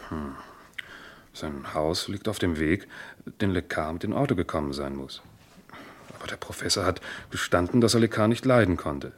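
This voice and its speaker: An actor playing a matter-of-fact police assistant giving reports in a German radio crime drama. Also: male voice, sits low, expressive, normal speed. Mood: businesslike.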